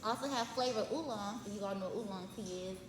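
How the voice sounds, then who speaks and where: A woman's voice: medium pitch, fast talker, background noise. A woman from Memphis, Tennessee with a light voice, a podcast guest explaining her herbal tea business in a friendly way. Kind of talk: casual podcast interview. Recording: echoey room with music behind.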